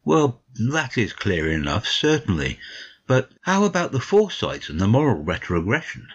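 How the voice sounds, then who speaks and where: A man playing a modest, polite gentleman narrator, the detective's puzzled friend, in a dramatic audiobook on a dull recording. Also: mid-range voice, huge pitch swings, moderate pace, husky, exaggerated voice. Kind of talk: dramatic audiobook reading, storytelling.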